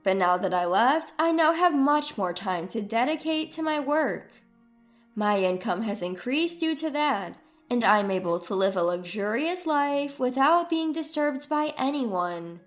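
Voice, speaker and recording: A woman narrates a scripted first-person story with expressive drama. Her voice sits high and moves around a great deal in pitch. Soft music plays beneath her. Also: a little slow.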